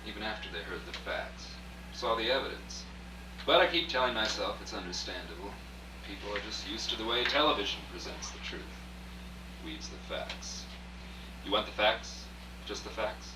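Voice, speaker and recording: Man acting a low-voiced wry journalist, distant and echoey. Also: natural voice. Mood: confiding, reflective. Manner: dry, wry storytelling. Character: broke, wry freelance journalist narrating his strange tale. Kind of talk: hard-boiled first-person radio drama narration. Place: echoey room at a dress rehearsal. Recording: echoey, distant, noisy old video rehearsal recording.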